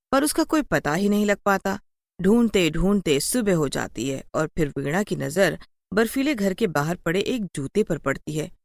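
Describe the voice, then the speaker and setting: Low-voiced woman narrating a spooky story. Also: dry recording, studio quality. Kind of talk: scripted children's horror story narration.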